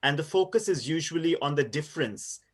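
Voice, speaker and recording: A man in his thirties from India, giving a conference presentation in a measured, explanatory way with frequent pauses and fillers. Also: fairly high pitch, animated. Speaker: university lecturer presenting research.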